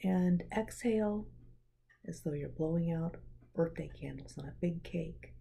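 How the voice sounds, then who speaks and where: A woman leads a guided meditation in a lowish, husky voice. Her tone is very mellow and relaxed. She speaks gently and in a measured way, leaving breathy pauses between phrases. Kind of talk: guided meditation, soothing scripted narration.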